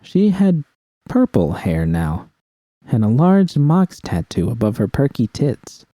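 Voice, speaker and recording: A man's voice: medium pitch, huge pitch swings, takes it slowly, mellow, no echo. A man narrating scripted first-person fiction as an audiobook reader.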